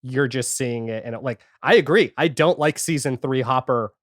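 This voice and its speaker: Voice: mid-range voice, moderate pace, no room sound, studio quality. A man chatting casually as a podcast guest, rambling and retelling things with quoted reactions. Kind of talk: casual podcast chat about a TV series.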